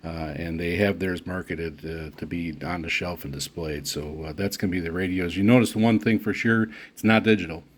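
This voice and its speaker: A man giving unscripted unboxing commentary as a product reviewer, speaking haltingly with frequent pauses mid-phrase. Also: low-pitched, steady tone, breathy edge.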